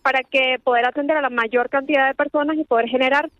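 A woman's voice: high-pitched, fairly even intonation, fast, no echo, highs cut off. A Venezuelan woman interviewed on the radio, speaking earnestly and repeating words as she searches for them. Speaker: guest, charity organizer.

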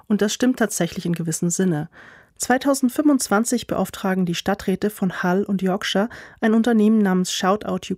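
A woman giving a scripted news narration in a measured, dry reporting tone. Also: mid-range voice, darkish tone, no room sound.

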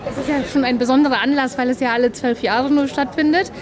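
Woman in her thirties, hesitant with fillers, slightly high voice, loud crowd around. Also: fairly even intonation, fast talker. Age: 30s. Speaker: interviewee. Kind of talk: casual interview answer. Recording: crowd noise behind.

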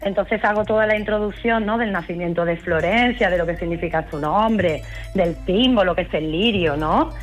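A woman's voice: lowish voice, normal speed. Andalusian woman guest, enthusiastic, music behind. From Huelva, Spain. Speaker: tour guide, interview guest. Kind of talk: conversational interview answers.

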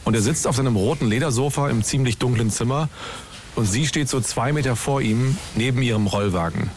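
A man's voice: mid-range voice, a little fast, a little mellow, slight background noise. A man hosting a documentary podcast, telling the story in scripted narration and laying out questions one by one.

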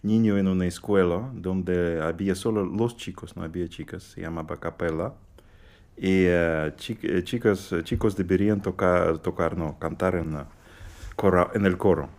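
Deep-voiced man. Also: fairly even intonation, normal speed, darkish tone. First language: Russian. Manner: hesitant, searching for words, many fillers. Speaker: guest pianist. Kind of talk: halting interview answers in a second language.

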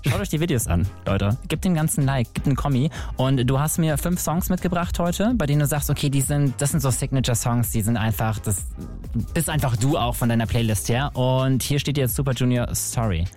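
A man hosts a casual music interview in a chatty, informal manner, rambling a little and dropping English slang. His voice sits at a middling pitch, with faint music behind him. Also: brisk, darkish tone. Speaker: radio or show host interviewing a guest.

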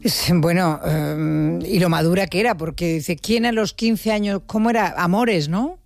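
A woman's voice: fairly low pitch, normal speed, vocal fry, dry recording. Female Spanish radio host over music. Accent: Spain Spanish. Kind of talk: conversational radio interview.

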